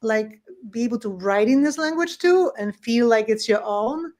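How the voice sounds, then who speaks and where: Woman, podcast guest, reflective, hesitant fillers, fairly high voice. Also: animated, darkish tone. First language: Polish. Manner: reflective, pauses with fillers and restarts. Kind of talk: casual conversational interview.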